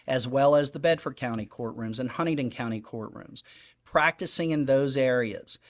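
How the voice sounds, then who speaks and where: A man's voice: mid-range voice, moderate pace. A man in his fifties running for judge, giving an earnest, emphatic statement at a public candidate forum and often repeating his phrasing. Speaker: judicial candidate.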